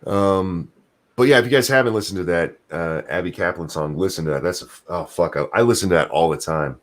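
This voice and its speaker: Deep-voiced man in rambling profane chat. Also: a little fast. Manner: profane, rambling, trails off searching for names. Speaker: podcast co-host. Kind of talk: casual livestream chat.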